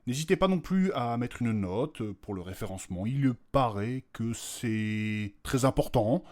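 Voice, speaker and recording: A man plays a polite, well-off fusspot in a fantasy audio comedy. He hesitates and whines reluctantly, his mid-range voice swinging widely in pitch. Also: animated, a little slow, a little mellow, close to an everyday voice. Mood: reluctant, flustered. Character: reluctant, fussy, easily offended well-off gentleman, Nathanaël. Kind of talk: comic fantasy audio drama.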